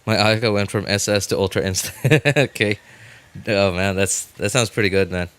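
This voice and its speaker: A man live streaming a game, chatting casually with viewers and thinking aloud in halting, informal bursts. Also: fairly low pitch, fairly bright tone, smooth, no echo. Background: music.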